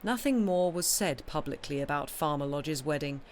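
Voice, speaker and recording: A woman's voice: deep voice, expressive, moderate pace, a little mellow, a little hiss or hum. A woman reading a classic story aloud as scripted literary narration. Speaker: audiobook reader.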